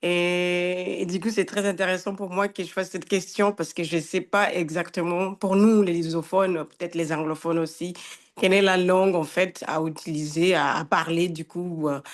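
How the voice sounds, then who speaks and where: A woman's voice: lowish voice, steady tone, normal speed. Hesitant woman. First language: Portuguese. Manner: hesitant, many filler sounds. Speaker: nominee.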